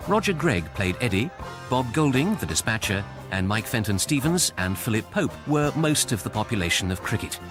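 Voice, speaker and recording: Music plays behind a British man reading radio credits. His voice is mid-pitched and varied in tune, with a formal announcer's delivery. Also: very animated, normal speed. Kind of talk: scripted closing credits announcement.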